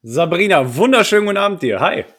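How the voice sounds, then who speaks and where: A man runs a podcast conversation. His voice sits slightly high, and his manner is jokey and colloquial. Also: big pitch swings, quick pace, fairly bright tone. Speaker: podcast host. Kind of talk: casual podcast hosting.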